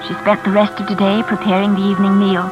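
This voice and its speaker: A woman's voice: medium pitch, completely flat delivery, fast, highs cut off, music in the background, lightly acted. An actress playing a nervous, polite young woman working as a companion, earnest and proper, in classic radio suspense style. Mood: uneasy narration. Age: young adult.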